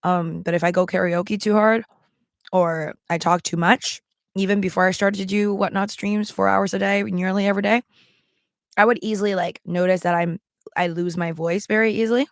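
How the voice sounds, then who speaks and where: A woman with a raspy, strained voice chatting casually to camera as a vlogger, rambling with many ums and likes while she talks around a throat candy. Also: mid-range voice, fairly even intonation, normal speed, no room sound, studio quality. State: strained, worn voice. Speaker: livestream seller and vlogger.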